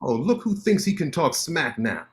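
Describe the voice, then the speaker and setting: An actor playing a cocky, sharp-tongued male lawyer in a staged play reading, teasing his friend with quick banter. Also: fairly high pitch, very animated.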